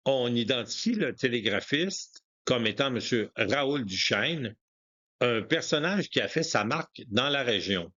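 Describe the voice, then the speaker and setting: A man's voice: medium pitch, pushed and bright, lo-fi sound. A man reading scripted historical narration as a documentary narrator.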